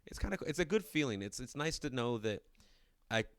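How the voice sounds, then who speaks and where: A man's voice: medium pitch, very animated, brisk, a bit bright, smooth, no echo, clean studio sound. A man in his thirties co-hosting a casual podcast chat, rambling and restarting his sentences, often laughing. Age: 30s. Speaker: podcast co-host.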